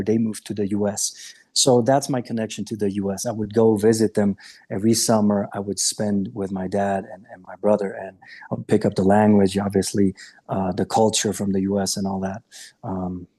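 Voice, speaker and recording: A man's voice: lowish voice, little pitch movement, normal speed, warm tone, slightly rough. A man in his late thirties, from Romania and raised in Austria, telling his story as a podcast guest in a candid, earnest way. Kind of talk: casual interview chat.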